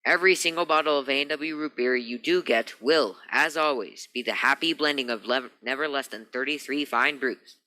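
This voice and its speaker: An actor playing an upbeat, folksy 1940s radio pitchman, reading an ad with polished, reassuring salesmanship. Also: normal speed, hardly any pauses, bright, forceful tone, close to an everyday voice, rough recording.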